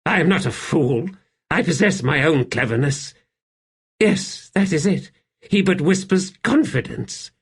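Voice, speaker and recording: A man voicing a young emperor in an audiobook, imperious yet fragile and weary, with a halting, strained delivery. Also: a little high, animated, husky, voice pushed up. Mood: brittle, insistent. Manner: halting, strained, swinging between command and fragility. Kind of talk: audiobook character acting.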